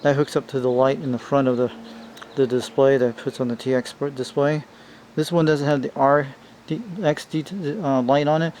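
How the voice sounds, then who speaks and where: A man's voice: medium pitch, little pitch movement, moderate pace, a little mellow. A man giving a casual, rambling hands-on show-and-tell as a radio hobbyist, speaking informally to his viewers. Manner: informal, rambling, addressing viewers as you guys. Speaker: hobbyist showing a vintage CB radio. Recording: noisy amateur home video.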